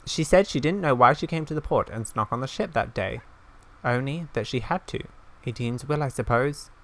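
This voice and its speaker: A man reading aloud a solemn fantasy game elder who remembers a lost friend, in an earnest, measured, halting way. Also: medium pitch, lively intonation, a bit bright. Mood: solemn, wistful.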